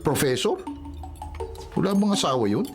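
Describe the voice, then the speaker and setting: A man's voice: fairly high pitch, very animated, normal speed, a little mellow, soft background music. A man playing a bemused, protective older brother in a Filipino radio romantic comedy, questioning and incredulous. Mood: puzzled, concerned.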